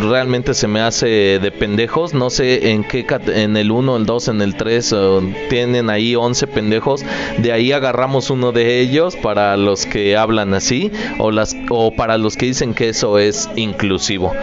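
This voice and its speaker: A middle-aged man with a Mexican accent hosting a podcast, answering listener questions in an informal, joking, slangy way. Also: medium pitch. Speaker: podcast host. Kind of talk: casual solo listener question-and-answer chat. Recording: dull lo-fi audio with music behind. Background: music.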